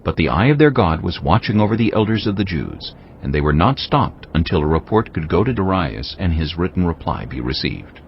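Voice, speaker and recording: A man narrates scripture in a solemn, measured storytelling style. His voice is very deep and gravelly, with expressive rises and falls. The recording is a touch dull, with faint noise. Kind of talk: scripted dramatized Bible narration.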